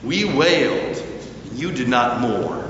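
A man delivering a sermon as a preacher, with deliberate phrasing and emphatic pauses. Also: medium pitch, animated. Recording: very echoey hall, distant, slightly dull, faint noise.

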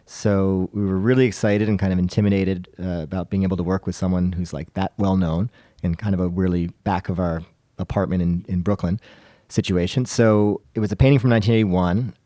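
A man's voice: low-pitched, fairly even intonation, a little fast, dark tone, no room sound, studio quality. A man chatting casually as a podcast panelist, telling anecdotes in a conversational, self-deprecating way.

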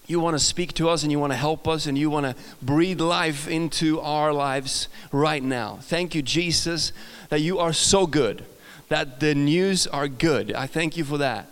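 A man's voice: fairly high pitch, unhurried, smooth. A man from Sweden with a mixed Swedish accent, preaching as a guest in a church, chatty and self-deprecating with many restarts. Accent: Swedish, mixed with British and American. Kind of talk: sermon. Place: large church hall. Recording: long hall reverb.

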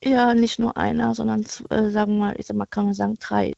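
A woman from western Germany speaks on a dull phone line as a radio caller. Her voice is heavily gravelly and she has a cold. She talks haltingly, restarting as she goes. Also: medium pitch, level tone, warm tone, no echo. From Germany, Rhineland-Palatinate. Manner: hesitant, halting. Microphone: telephone.

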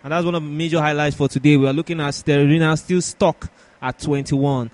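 A man's voice: fairly high pitch, steady tone, a little fast, a little mellow. A man hosting a sports podcast in a conversational way, often repeating and rephrasing as he discusses and poses questions.

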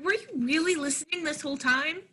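A woman's voice: sits high, brisk, bright, forceful tone, a little hiss or hum. An actress playing a prim, polite, anxious and easily affronted young woman in a stage-play reading. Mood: flustered. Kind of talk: readers theatre stage-play acting.

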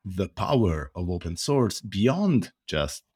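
A man's voice: low voice, very animated, takes it slowly, darkish tone, husky, no echo, studio quality. A man with an Italian accent, a podcast guest explaining his work at length in an earnest way, with frequent hesitations and fillers. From Italy. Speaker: guest, open source foundation director. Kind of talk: podcast interview answers.

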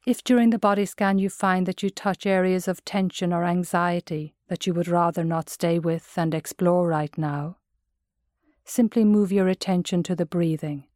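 A woman with an Irish accent gives measured, gentle guided meditation narration as a mindfulness instructor. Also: on the low side, dry recording, clean studio sound.

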